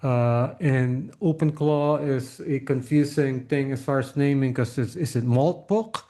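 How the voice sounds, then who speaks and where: A man's voice: mid-range voice, a little slow, dark tone. A man hosting a conversational interview, probing and checking his understanding with repeated questions. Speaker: podcast host, interviewer.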